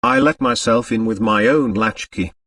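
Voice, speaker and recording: Guy doing a snooty french count for an audiobook, formal, swingy pitch, sounds a bit off the mic. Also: wildly swooping pitch, normal speed, vocal fry, a bit bright, no room sound, studio quality, distant mic, exaggerated voice. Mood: composed. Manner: haughty, indignant, formal. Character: haughty, suave aristocratic French count. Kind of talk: audiobook character dialogue.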